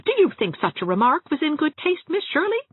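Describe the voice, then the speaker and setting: A woman's voice: high-pitched, very animated, a little fast, dry recording, narrow, tinny audio, pitched above the performer's own voice. A woman voicing a ladylike small-town spinster dressmaker in an audiobook, chatting genteelly with relish for grim family gossip. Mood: proud, chatty. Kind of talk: acted audiobook dialogue.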